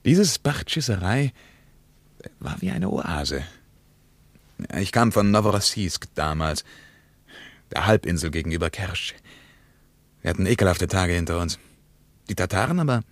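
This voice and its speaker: An actor playing a German traveller in a radio play, fondly recalling a wartime journey with vivid, musing asides. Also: male voice, low-pitched, sing-song, a little slow, vocal fry, long pauses, no echo, exaggerated voice. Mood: reminiscing. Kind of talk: dramatic radio play monologue.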